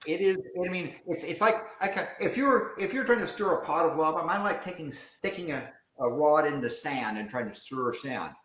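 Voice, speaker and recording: A man chatting on a stream, lively in his explanations and often repeating words as he stumbles into a point. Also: on the high side, normal speed, few pauses. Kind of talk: casual chat on a stream. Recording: echoey room with faint noise.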